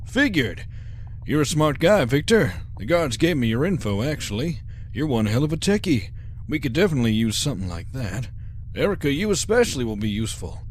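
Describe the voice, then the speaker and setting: Man playing a cocky, lively showman convict. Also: mid-range voice, brisk, a little hiss or hum, natural voice. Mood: persuasive. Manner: suave, cocky, persuasive. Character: smooth, confident showman convict, schooling his rivals. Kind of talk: sci-fi audio drama acting.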